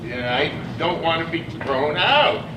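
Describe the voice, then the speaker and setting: An actor plays a worried, childlike man with a fairly high voice. He is timid, simple and pleading. The live stage sound is roomy, with clear background noise. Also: big pitch swings, a little slow, recorded from a distance. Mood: anxious, pleading. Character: anxious, childlike devout man, group-home resident. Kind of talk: live one-act stage play dialogue.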